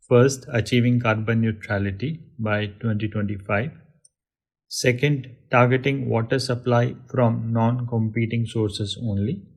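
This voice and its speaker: A man with an Indian accent giving a formal, scripted corporate update as a company executive. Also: medium pitch. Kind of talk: formal scripted business report.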